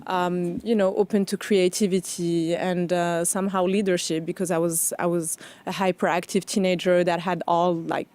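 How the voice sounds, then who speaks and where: Woman from Morocco, halting interview guest. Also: fairly low pitch, little pitch movement, a little mellow. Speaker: interview guest, foundation founder. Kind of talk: conversational interview.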